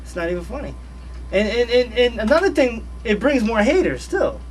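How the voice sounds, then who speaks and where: A man's voice: extremely high pitch, big pitch swings, moderate pace, distant mic, faint music behind, rough recording. A man in his thirties, a guest on a radio show, talking in a laid-back, slangy way and repeating his phrases. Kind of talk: casual radio interview chat. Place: radio studio.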